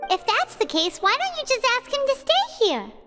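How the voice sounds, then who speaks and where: A woman voicing a small, childlike, chatty fairy companion in a video game, squeaky and bubbly, often calling herself by name. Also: huge pitch swings, moderate pace, music in the background, heavily acted. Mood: curious. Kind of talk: animated video game voice acting.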